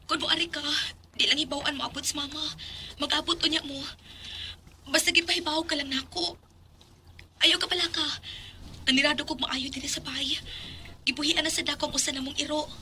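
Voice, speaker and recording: High, bright, anxious young woman, acted by a woman. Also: steady tone, a little fast, long pauses, a little hiss or hum. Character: anxious young woman confiding in her sweetheart. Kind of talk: audio drama acting.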